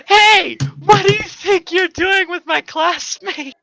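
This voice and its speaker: Man putting on a shrill, whiny schoolgirl falsetto. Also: slow, gravelly, pushed and bright, exaggerated voice. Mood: indignant. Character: shouty, upset schoolgirl pleading for help.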